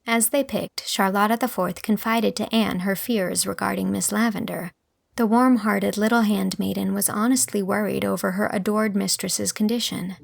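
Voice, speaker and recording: A woman's voice: mid-range voice, fairly even intonation, normal speed, vocal fry, dry recording. A woman reading scripted literary narration as an audiobook narrator.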